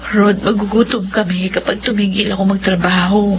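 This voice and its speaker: A woman's voice: mid-range voice, little pitch movement, normal speed, slight background noise, close to an everyday voice. A woman playing a hardworking, troubled young Filipina migrant worker in a radio drama, with a Filipino accent. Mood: determined. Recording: dull lo-fi radio audio with music.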